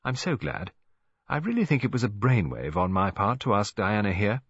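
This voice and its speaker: A man plays a kindly elderly English grandmother in an audiobook. She is chatty, fond and gently scheming, with a lilting, slightly husky delivery. The sound is dry and a bit dull. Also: on the low side, sing-song, moderate pace, dry recording, full character voice. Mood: pleased, chatty.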